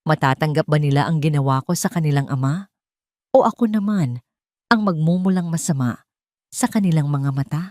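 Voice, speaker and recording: A woman narrating a first-person dramatic story from a script, with measured phrasing. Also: sits low, a little mellow, no room sound, studio quality.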